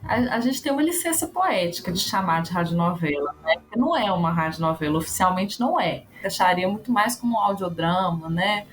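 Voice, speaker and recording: An actress and educator talking casually in an interview. Her voice moves freely up and down as she thinks aloud. Soft music plays under her. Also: fairly low pitch, fairly quick, few pauses. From Brazil. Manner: animated, musing, fond of rhetorical questions.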